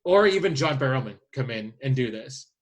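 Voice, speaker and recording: A man co-hosting a podcast, talking casually and haltingly as he thinks his way through his picks aloud. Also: mid-range voice, animated. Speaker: podcast host. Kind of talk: casual chat, presenting a list of casting picks.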